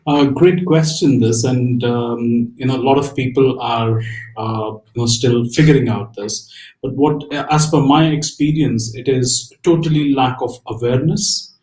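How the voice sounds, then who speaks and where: A man answering as a parent on a discussion panel, haltingly and with repeated words. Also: mid-range voice, a little mellow. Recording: echoey room sound.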